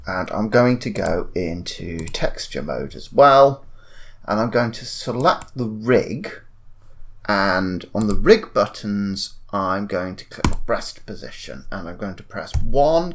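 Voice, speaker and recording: A man narrating a software tutorial in a casual, informal way, thinking aloud as he demonstrates. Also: lowish voice. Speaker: tutorial presenter. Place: indoors at a computer, screen-recording voice-over.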